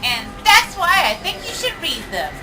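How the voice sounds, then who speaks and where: A woman voicing an excitable, quirky young female pony, telling things theatrically with drawn-out, emphatic words. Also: very high-pitched, over music, exaggerated voice. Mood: cheerful. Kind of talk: cartoon voice acting in a comic read-along. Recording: clearly noisy background.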